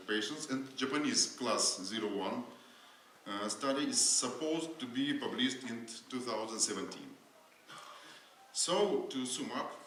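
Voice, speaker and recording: Non-native guy lecturing on surgery, halting, lots of uh's, big echoey hall, faint hiss. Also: medium pitch, fairly bright tone. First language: not English. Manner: halting, with frequent fillers and restarts. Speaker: surgeon giving a conference lecture. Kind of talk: conference lecture.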